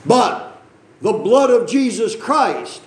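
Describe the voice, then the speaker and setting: A man's voice: high-pitched, very animated, slow, roomy sound. A man preaching a sermon, reading scripture aloud and explaining it in a conversational way, with frequent questions to the congregation and joking asides. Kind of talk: sermon, Bible reading and teaching.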